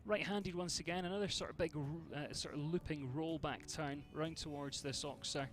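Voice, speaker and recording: A man's voice: on the high side, steady tone, normal speed. A man from Scotland with a Scottish accent, giving chatty live commentary on a show jumping event and greeting viewers by name.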